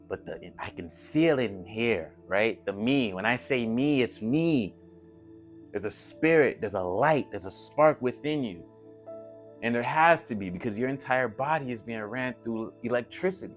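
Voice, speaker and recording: A man giving an impassioned, informal esoteric lecture, slangy and profane, reading from a book and commenting, repeating phrases for emphasis. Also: mid-range voice. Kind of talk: impassioned informal lecture with read-aloud passages. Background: music.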